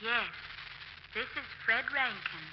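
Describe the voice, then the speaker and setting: An actress playing a dead wife's ghost, tender and eerily coaxing, with drawn-out ethereal delivery in a 1940s radio horror drama. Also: female voice, fairly high pitch, lively intonation. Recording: muffled, noisy old radio broadcast.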